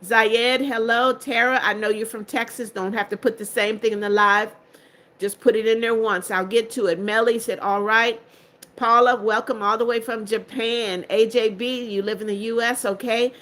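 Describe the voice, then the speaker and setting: A woman's voice: on the high side, fairly even intonation, normal speed, a bit bright. An older woman hosting a livestream, reading out chat comments and greeting viewers in a firm, strict way. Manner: strict, firm about blocking spammers. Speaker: livestream host.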